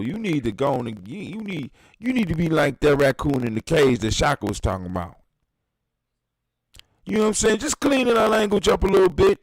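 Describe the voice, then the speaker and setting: A man in his fifties talks with a lively, swooping, slightly high voice, ranting with heavy cursing and strong emphasis. The sound is close and dry, with no room around it. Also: no echo, low-quality recording. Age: 50s. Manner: animated, profane, emphatic ranting. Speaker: podcast host. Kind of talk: casual chat.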